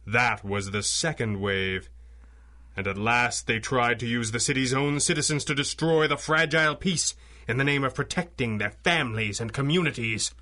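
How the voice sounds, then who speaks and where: A man plays a masked crime-fighter in an old-time radio serial. His voice is bright and forceful at a medium pitch, with formal, clipped authority and urbane polish. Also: normal speed, lightly acted. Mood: stern, menacing. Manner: crisp, formal, authoritative diction. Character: masked male superhero boss, commanding, suave, urbane. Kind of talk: 1930s-style radio drama acting.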